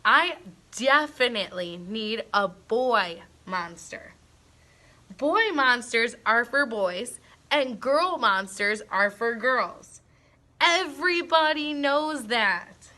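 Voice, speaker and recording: A picky little boy from a bedtime storybook, voiced by a woman. The voice is high and bright, with slow, careful wording. He can be nervous, yet speaks up boldly about what he wants. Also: animated, full character voice. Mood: firm, picky.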